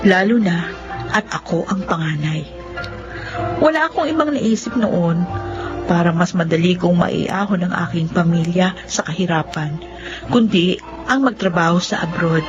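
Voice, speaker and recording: Actress doing young breadwinner daughter, earnest and hopeful, radio drama, muffled with music behind. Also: female voice, on the low side, measured pace, close to an everyday voice. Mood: reflective, earnest. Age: young adult. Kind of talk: Filipino radio drama acting and narration.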